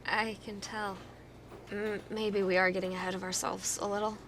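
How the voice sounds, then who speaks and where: Inquisitive, friendly woman investigator, played by an actress; mid pitch, audio drama, some background noise. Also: little pitch movement, moderate pace, a bit bright, lightly acted, poor audio. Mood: curious, friendly. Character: Cam, friendly, inquisitive female fellow investigator.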